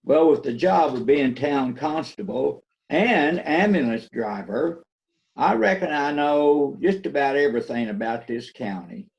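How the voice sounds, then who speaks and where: Slow folksy small-town constable played by a man. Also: mid-range voice, lively intonation, creaky, a little mellow. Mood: folksy, unhurried. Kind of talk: amateur readers theater reading. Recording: dull lo-fi video call.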